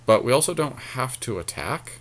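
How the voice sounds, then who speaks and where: A man's voice: mid-range voice, big pitch swings, normal speed, a bit bright. A man giving casual gameplay commentary as a podcast co-host, thinking aloud through tactical options. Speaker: podcast co-host and card game player.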